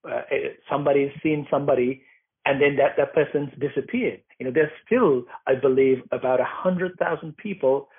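A man answers interview questions in a halting, thoughtful way, pausing on fillers and starting over mid-sentence. His voice is a little husky and breathy. It comes through a thin, phone-like line. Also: mid-range voice, fairly even intonation. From Sri Lanka. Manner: thoughtful, many fillers and restarts. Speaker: guest, documentary maker. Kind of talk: interview answers, personal account.

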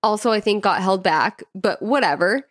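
Female co-host, conversational and informal, medium pitch, lots of "like", dry close sound. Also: lively intonation, moderate pace, fairly bright tone, no room sound. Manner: informal, peppers speech with "like". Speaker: podcast co-host. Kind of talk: casual chat, personal storytelling.

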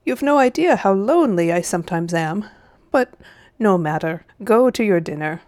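A woman's voice: medium pitch, animated, normal speed, darkish tone, lightly acted. A woman voicing a cunning, smug old uncle in a storybook, falsely sweet, condescending and genteel in his phrasing. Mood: wheedling, self-pitying. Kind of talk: storybook character voice.

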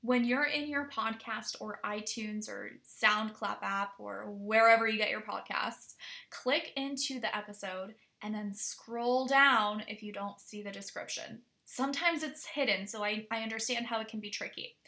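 Bright upbeat woman podcast host. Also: a little high, creaky. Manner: upbeat and energetic in the intro, slow and deliberate when modelling phrases. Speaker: podcast host and Polish teacher. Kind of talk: language lesson podcast.

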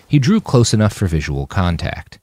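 A man's voice: low-pitched, big pitch swings, moderate pace, a little mellow. A man reading his action fiction aloud in an audiobook style. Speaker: author reading his own fiction on a podcast.

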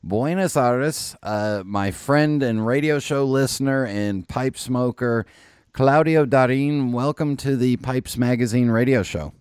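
A man's voice: medium pitch, a little slow, a little mellow, smooth, no echo, studio quality. A man from the southern United States hosting a podcast, joking and laughing easily as he chats with guests. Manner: joking, self-deprecating, laughs often. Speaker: podcast host. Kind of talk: casual interview chat.

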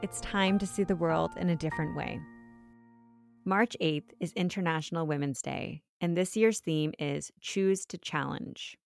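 A woman hosting a podcast, reading a scripted intro and then chatting with her guest in an upbeat, expressive way. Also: fairly low pitch, measured pace, creaky, no echo, faint music behind. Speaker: podcast host. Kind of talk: scripted intro narration and interview chat. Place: home closet.

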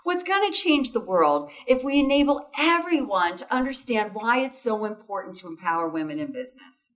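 A woman's voice: a little high, sing-song, moderate pace, fairly bright tone, distant mic. A woman being interviewed as a foundation founder, talking enthusiastically and often tagging sentences with "right?" and "you know". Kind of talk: interview conversation.

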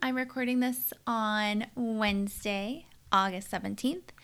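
A woman's voice: mid-range voice, fairly even intonation, a little slow, a bit bright, clear, resonant tone. A woman in her thirties hosting her own podcast, talking candidly and conversationally into a studio mic. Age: 30s. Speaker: podcast host and leadership coach. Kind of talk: solo podcast monologue.

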